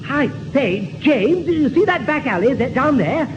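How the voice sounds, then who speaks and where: A man's voice: very high voice, very animated, normal speed. An actor playing a prissy, pompous, dithering English man in an old radio sitcom, fussy and genteel in manner. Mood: earnest, pompous. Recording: dull, slightly noisy old radio recording.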